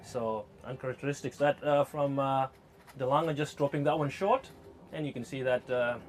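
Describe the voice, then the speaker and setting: A man from Zimbabwe with a Zimbabwean accent doing live cricket commentary, talking in a hesitant way with frequent pauses and fillers. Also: medium pitch, slight background noise. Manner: hesitant, many uh fillers, laughs easily.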